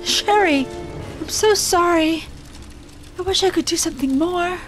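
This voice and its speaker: A woman plays a concerned, helpful neighbour in an audio drama. Her voice is extremely high, with faint music behind it. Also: measured pace. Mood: concerned, apologetic. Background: faint background music.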